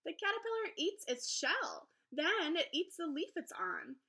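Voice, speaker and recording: A woman teacher reading aloud to young children in an encouraging, expressive storybook manner. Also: very high voice, measured pace. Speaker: elementary school teacher. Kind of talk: children's read-aloud lesson.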